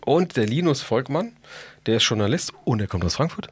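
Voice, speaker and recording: A man's voice: mid-range voice, lively intonation, a little fast, husky, no echo. A man hosting a podcast in casual chat, talking in a colloquial, blunt, joking way. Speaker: podcast host.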